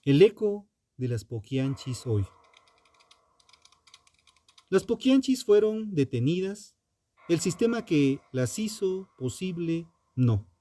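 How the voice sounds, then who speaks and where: Slow, dark-toned man narrating true crime. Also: a little high, sing-song. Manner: solemn, dramatic, short measured phrases with pauses. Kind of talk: scripted documentary narration.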